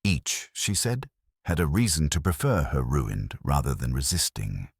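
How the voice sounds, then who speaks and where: Very deep-voiced man narrating detective fiction. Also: very animated, a little slow, gravelly, breathy edge, dry recording, clean studio sound. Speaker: audiobook narrator. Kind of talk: scripted first-person detective story narration.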